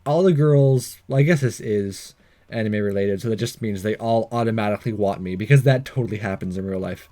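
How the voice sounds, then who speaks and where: A man's voice: fairly low pitch, brisk, mellow. A man giving casual, hesitant and wry game commentary, reading some of the text aloud as he plays. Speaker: let's-play host.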